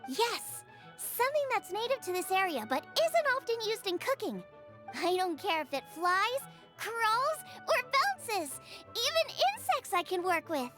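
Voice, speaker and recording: A woman voicing an excitable, bossy, cheerful teenage girl chef in an anime video game, with a playful, exclamatory delivery. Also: very high voice, unhurried, soft background music, full character voice. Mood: eager, bossy.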